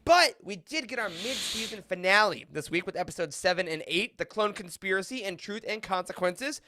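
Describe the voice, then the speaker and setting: A host of a fan roundtable, chatting casually in an animated, jokey way. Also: mid-range voice, fairly quick, crowd noise. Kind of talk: casual chat, hosting.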